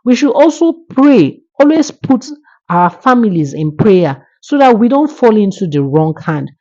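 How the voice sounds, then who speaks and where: A woman's voice: medium pitch, big pitch swings, unhurried, warm tone, muffled recording. A woman telling a folktale in an emphatic, moralizing manner. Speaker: storyteller. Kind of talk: oral folktale narration with moral lesson.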